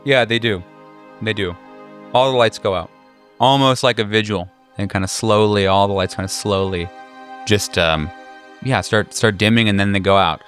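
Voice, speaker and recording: A man speaking as game master and host of a tabletop horror game, in a halting, informal way with jokey asides. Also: fairly low pitch, fairly bright tone, faint music behind. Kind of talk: narrating a tabletop horror game, casual banter.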